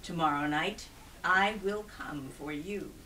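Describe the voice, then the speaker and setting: A woman voicing a grand, menacing goblin king for a storybook, slow, pompous and taunting, sinking to a hush and swelling up. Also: far from the mic, a little hiss or hum, heavily acted. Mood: gloating threat. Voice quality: cracking, bone-like, hushed then booming.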